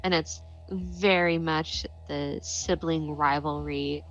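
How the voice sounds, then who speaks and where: Female tabletop player, low register, casual informal delivery, slightly dull audio over a quiet music bed. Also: fairly even intonation, slow pace. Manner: informal, sweary. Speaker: player in a tabletop role-playing game. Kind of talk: casual in-game description of her character. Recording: dull lo-fi sound, faint music behind.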